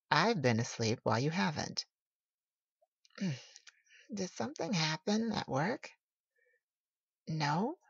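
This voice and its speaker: A woman playing a tender, teasing boyfriend who soothes a sleepless lover in an intimate, slow ASMR roleplay. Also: lowish voice, vocal fry, heavily acted. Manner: soothing, affectionate, playful. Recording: roomy, slightly dull home recording.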